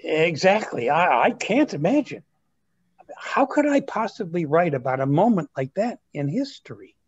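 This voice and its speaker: A man acting as a doubtful teenage boy with a very animated, swinging pitch. He asks things hesitantly in a staged read-through dialogue, and the sound is dull, like a lo-fi video call. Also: moderate pace, slight background noise. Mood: incredulous, wry. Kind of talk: amateur reader's theatre dialogue over video call.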